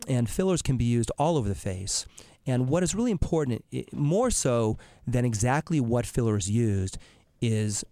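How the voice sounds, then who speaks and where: A man with a raspy, hoarse voice from laryngitis, explaining cosmetic treatments as a surgeon on a call-in radio show, with frequent ums and restarts. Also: medium pitch, mellow, no echo. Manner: explanatory, many fillers and restarts. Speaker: dermatologic surgeon, radio show guest expert.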